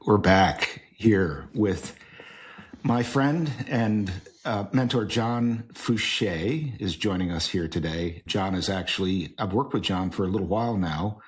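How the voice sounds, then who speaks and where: A middle-aged man hosting an interview podcast, friendly and a little halting. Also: on the low side. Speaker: podcast host.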